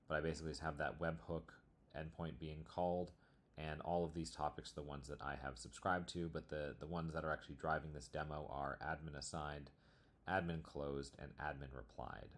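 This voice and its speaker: Very deep-voiced man, casual tech walkthrough. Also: flat delivery, brisk. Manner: informal, explanatory. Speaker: software demo presenter. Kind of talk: casual screencast tutorial walkthrough.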